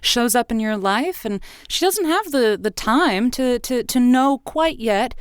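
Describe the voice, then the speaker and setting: A woman's voice: on the high side, animated, unhurried, clean tone, no room sound, clean studio sound. A woman playing a playful, teasing radio advice-show host who rambles and hesitates, in a scripted audio drama.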